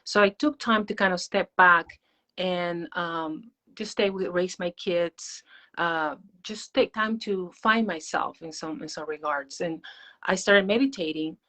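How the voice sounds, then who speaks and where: A woman with a Peruvian Spanish accent speaks in a slightly low voice. She is an interview guest, explaining things in a personal, reflective, conversational way. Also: unhurried, sharp tone. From Peru. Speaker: interview guest, meditation teacher.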